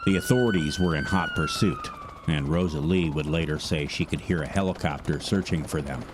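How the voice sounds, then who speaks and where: A man narrating a scripted true-crime podcast in a measured, dramatic storytelling manner. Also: sits low, huge pitch swings, noisy background. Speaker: narrator, voice actor. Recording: music bed behind narration. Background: music.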